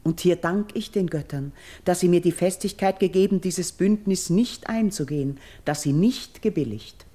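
An actress playing an earnest, steadfast Greek princess and priestess in a classical verse radio drama, speaking in solemn, measured, elevated declamation. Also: female voice, lowish voice, warm tone, close to an everyday voice. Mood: firm, dignified. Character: noble Greek king's daughter turned priestess, earnest and steadfast.

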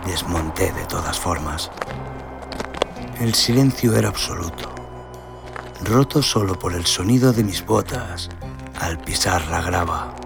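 A man narrating a scripted western horror tale in a brooding, theatrical, deliberate manner. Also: sits low, gravelly. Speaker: audio story narrator. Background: cinematic sound design and music.

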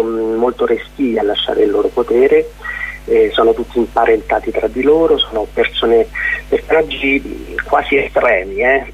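Slow, hesitant man on a phone line. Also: mid-range voice, husky. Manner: hesitant, halting, searching for words. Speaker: interview guest, playwright. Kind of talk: informal radio phone interview. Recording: thin, narrow telephone line on radio.

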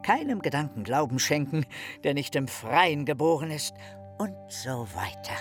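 An actor playing a cranky, self-dramatizing philosopher and hiker in a radio play, declaiming pompously and muttering asides. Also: male voice, a little high, very animated, moderate pace, faint music behind. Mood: grandiose. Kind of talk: German radio play monologue.

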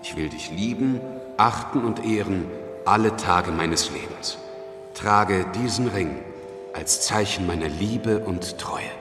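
Very deep-voiced, worldly young lawyer. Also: male voice, takes it slowly, over music. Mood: solemn. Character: worldly, blunt male lawyer in his late twenties. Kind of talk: radio drama acting.

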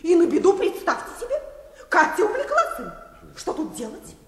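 Very high-pitched, gushing young lady. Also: wildly swooping pitch, moderate pace, heavily acted. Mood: excited chatter. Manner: gushing, theatrical, laughing chatter. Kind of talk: classic comic radio play acting. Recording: echoey hall reverb.

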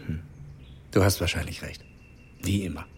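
An actor playing a charming, easygoing middle-aged father in a naturalistic radio drama, gravelly and courteous. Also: low voice, fairly even intonation, moderate pace, a little hiss or hum, close to an everyday voice.

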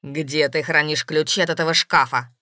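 Woman acting low-voiced, bullying angry businessman. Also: sing-song, measured pace, no echo, clean studio sound, full character voice. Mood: cold, menacing. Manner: accusing, forceful, interrogating. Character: angry, bullying middle-class businessman, jealous and suspicious.

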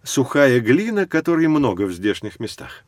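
An actor playing a patient, knowledgeable guide, explaining things to children in measured storytelling for an educational audio drama. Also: male voice, mid-range voice, very animated, no echo, clean studio sound, lightly acted. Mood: patient, informative. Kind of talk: scripted educational narration for children.

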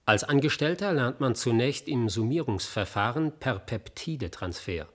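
Radio play casting: learned ex-banker and knowledge broker, wry, curious, measured delivery, mid-range voice. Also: male voice, mid-range voice, expressive, a bit bright. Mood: matter-of-fact. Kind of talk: German science-fiction radio play dialogue.

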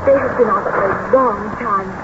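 A woman's voice: mid-range voice, normal speed, close to an everyday voice. A woman playing an anxious, devoted wife in a 1930s radio serial, formal, urgent and theatrical. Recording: very noisy, muffled 1930s radio recording.

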